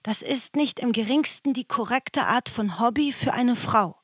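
A prim gossiping wife in a radio play, forever scandalised. The voice is fairly high and husky-breathy, in a very dry, close recording. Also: female voice, animated, normal speed, dry recording.

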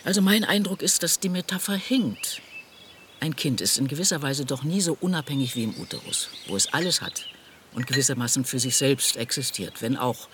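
An actor as a thoughtful, bookish male friend in a radio play, probing and articulate. His mid-pitched voice moves with lively intonation. Faint birds and insects sound in the background. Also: a little fast, background noise, natural voice. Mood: musing, analytical. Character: probing, intellectual friend asking searching questions. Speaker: questioning conversation partner in a radio play. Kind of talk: scripted radio play dialogue.

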